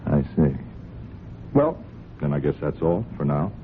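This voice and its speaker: On a muffled, hissy old radio recording, a male police sergeant with a very low, expressive voice. He is folksy and hesitant, apologizing as he goes. Underneath the mild politeness he keeps quietly probing. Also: measured pace, heavily acted. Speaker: police sergeant in a crime drama. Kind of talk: old-time radio drama acting.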